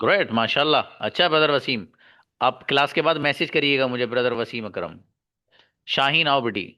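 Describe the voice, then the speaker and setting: A man's voice: medium pitch, moderate pace, sharp tone. A man teaching an online English class, calling students by name and praising each one briefly.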